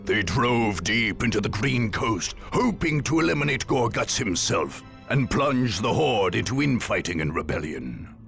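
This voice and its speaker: A man delivering dramatic scripted game narration in a grave, gravelly storytelling manner. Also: fairly low pitch, animated, a little slow, few pauses, a bit bright. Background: background music.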